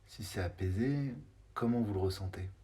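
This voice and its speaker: A man leads a guided body scan meditation. His voice sits low. He speaks in short, unhurried phrases, leaving space between them and sometimes hesitating before the next one. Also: dark tone.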